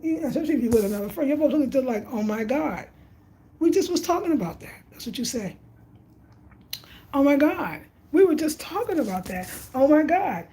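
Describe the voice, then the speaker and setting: Reflective woman in her fifties, mellow. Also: sits high. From Brooklyn, New York. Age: 50s. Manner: animated, reflective, repeats phrases for emphasis. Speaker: travel vlogger. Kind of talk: casual spiritual reflection, livestream.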